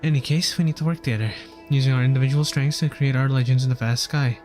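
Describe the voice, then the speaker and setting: A male game streamer reads story text aloud in an earnest way. His pitch is average for a man, and he sometimes stumbles over a word. Faint game music plays behind him. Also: fast. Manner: earnest, stumbles over words while reading.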